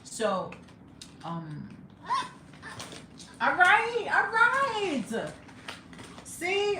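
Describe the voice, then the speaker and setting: A young woman with a Jamaican accent chatting casually on a home livestream, laughing often and sometimes breaking into excited high exclamations. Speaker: livestreamer and mother. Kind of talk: casual live chat. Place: home room. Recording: echoey room with background noise.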